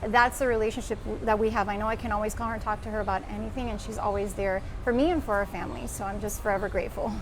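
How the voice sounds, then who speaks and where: A woman's voice: mid-range voice, fairly even intonation, rapid-fire, few pauses, noisy background. A woman who works as a news anchor, talking earnestly and reflectively in a heartfelt conversation.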